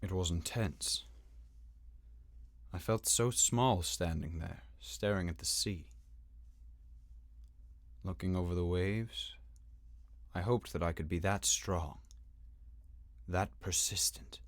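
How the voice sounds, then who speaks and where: A man's voice: sits low, slow, long pauses, no room sound. A man playing an earnest, dutiful young knight in a game fan dub, speaking in a serious, slightly formal manner. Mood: wistful. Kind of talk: acted game dialogue.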